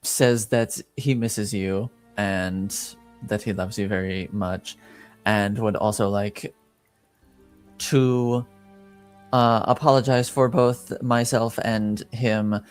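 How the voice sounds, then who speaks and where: A man narrating as game master, improvising in measured, halting phrases. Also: fairly low pitch, clean tone.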